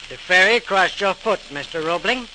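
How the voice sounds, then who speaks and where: An actor playing an urgent, businesslike doctor in a 1940s radio drama, speaking emphatically. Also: male voice, high-pitched, expressive, moderate pace, sharp tone, full character voice. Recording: crackly old radio recording.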